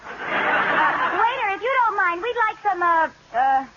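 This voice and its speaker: A dull, hissy old radio recording carries a scatterbrained wife. Her high voice swoops about as she flits playfully and stubbornly through a vintage sitcom. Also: animated, unhurried. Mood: flustered, eager. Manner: bubbly, flighty, argues back brightly. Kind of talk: 1940s radio comedy acting.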